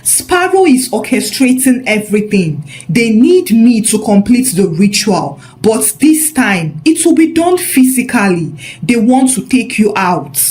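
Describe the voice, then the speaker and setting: A woman's voice: fairly high pitch, very animated, measured pace, hardly any pauses, darkish tone. A woman voicing a conflicted, remorseful male insider with a grave, confiding manner in a story. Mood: urgent.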